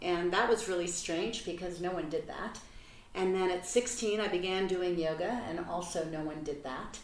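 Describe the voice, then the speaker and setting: An older woman from Belgium talks through her life story in an interview at home. She is earnest and reflective and pauses to find her words. Her voice sits low for a woman and sounds roomy, caught by a mic well away from her. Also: distant mic, slight background noise. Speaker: interview guest.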